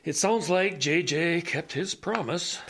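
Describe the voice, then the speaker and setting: A man playing a stubbornly hopeful older major, a blinded radio tinkerer, who talks in a halting, rambling way in an audio drama. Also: fairly high pitch, a bit bright, slightly rough, exaggerated voice. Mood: wistful, rambling.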